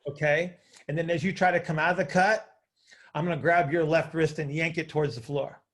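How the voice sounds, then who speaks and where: A man with a creaky voice, casually explaining as a coach hosting a group call, often checking that listeners follow. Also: a little high, fairly even intonation, brisk, a bit bright. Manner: informal, hands-on, checks understanding with short tag questions. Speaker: host and coach.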